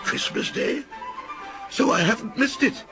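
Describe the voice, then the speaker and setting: A man reading a storybook aloud, voicing an old male miser who turns frantic and overjoyed, high and breathless. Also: huge pitch swings, slow pace, vocal fry, exaggerated voice. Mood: giddy. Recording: music playing behind.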